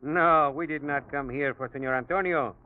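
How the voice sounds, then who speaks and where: A dull vintage radio sound carries an actor's take on a male police officer with a Spanish accent. His voice sits fairly high, excitable yet formally polite, in old radio-play style. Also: normal speed, lightly acted. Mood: firm, explanatory. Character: excitable but courteous Spanish-speaking policeman. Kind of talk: 1940s radio drama acting.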